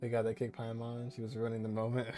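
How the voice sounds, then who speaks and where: A man's voice: mid-range voice, monotone, fairly quick, dark tone. A man doing casual playthrough commentary as a gaming creator, reacting in an informal, rambling way. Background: faint music.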